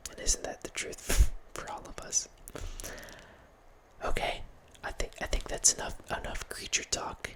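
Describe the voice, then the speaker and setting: A man in his thirties hosts his ASMR channel in a soft unvoiced whisper, close on a studio mic. He rambles loosely, restarting phrases and slipping in quiet laughs. The sound is intimate, from a small room at home. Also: a little slow. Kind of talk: whispered ASMR ramble about a horror movie. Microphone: large studio mic close in front, with headphones.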